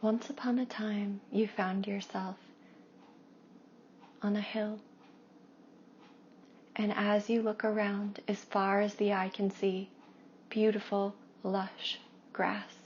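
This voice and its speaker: Slow, hushed woman guiding a meditation. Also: medium pitch. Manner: hushed, soothing, drawn-out phrases with long pauses. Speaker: meditation guide and Reiki practitioner. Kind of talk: guided meditation narration. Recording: dull lo-fi with faint background noise.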